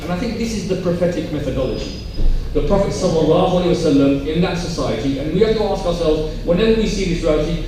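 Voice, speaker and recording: A man's voice: sits high, a little mellow. A man giving earnest closing remarks at a public talk, stressing his points in repeated phrases. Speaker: panel speaker. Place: large echoey indoor event room. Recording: very echoey room, distant mic, faint noise.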